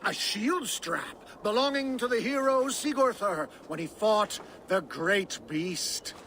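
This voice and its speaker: A sly, wheedling merchant. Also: male voice, high-pitched, animated, slow, fairly bright tone, slight background noise, full character voice. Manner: wheedling, pleading salesman patter. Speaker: merchant character. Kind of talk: video game cutscene acting.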